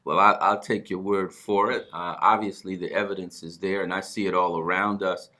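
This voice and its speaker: A man's voice: low voice, steady tone, normal speed, bright, forceful tone. A middle-aged man hosting a talk show, speaking conversationally and earnestly, often repeating words as he goes. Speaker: broadcast host.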